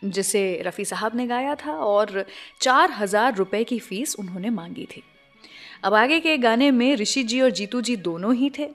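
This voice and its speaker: An Indian woman presents a radio show in a conversational storytelling style. Her voice sits on the high side. She addresses listeners directly, with light music playing behind her. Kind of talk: scripted radio storytelling between songs. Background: background music.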